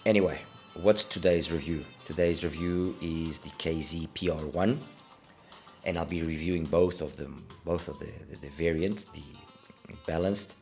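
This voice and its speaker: A man's voice: very deep voice, fairly even intonation, moderate pace, vocal fry, a little mellow, faint music behind. A middle-aged man, congested with a cold, giving a casual, hesitant product review and repeating words for emphasis. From Portugal. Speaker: audio gear reviewer.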